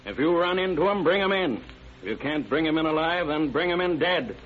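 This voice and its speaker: An actor playing a stern, methodical sheriff in an old radio crime drama, speaking in a measured, formal, authoritative way. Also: male voice, a little high, expressive, lightly acted. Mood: commanding. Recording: tinny, noisy old radio recording.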